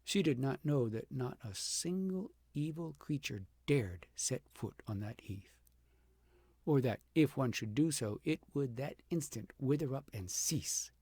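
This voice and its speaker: A man narrating a fairy tale aloud as an audiobook, with dramatic, deliberate phrasing that swells for emphasis and drops to a hush. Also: mid-range voice, expressive, slightly rough, dry recording. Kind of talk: expressive storybook narration.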